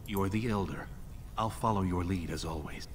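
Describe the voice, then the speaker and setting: An actor playing an earnest, smoothly scheming younger dwarven prince in a fantasy video game, deliberate and conspiratorial. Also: male voice, deep voice, slight background noise, close to an everyday voice. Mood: resolved. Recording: game dialogue under music.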